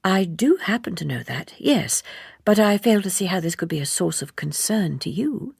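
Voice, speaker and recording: Woman acting a guarded young woman, slightly husky. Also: mid-range voice, sing-song, moderate pace, no echo, clean studio sound, full character voice. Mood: guarded, puzzled. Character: composed, guarded young woman, politely challenging. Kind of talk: audiobook character dialogue.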